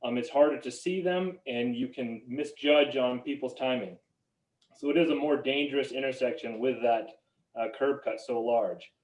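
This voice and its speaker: A man explaining a road design to a town meeting in a measured way, pausing on frequent ums. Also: mid-range voice, a little mellow. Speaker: project engineer. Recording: roomy, distant sound.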